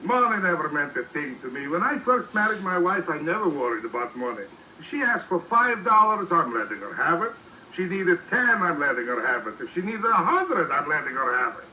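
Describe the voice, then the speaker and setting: On a noisy, roomy old radio recording, a jokey professor with no money. His high voice swoops widely as he sets up each gag theatrically, in broad 1940s comedy style. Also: very animated, brisk, pushed and bright, full character voice. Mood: droll, jokey. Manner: theatrical, punchline-driven delivery. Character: jokey, penniless professor who chuckles at his own gags.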